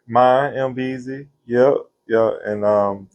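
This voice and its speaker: A man of fifty from Florida, telling stories from his life in a relaxed interview. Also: mid-range voice, takes it slowly, highs cut off. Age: 50s. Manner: relaxed, laughing, Southern slang. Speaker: interview guest, singer. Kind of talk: casual storytelling interview.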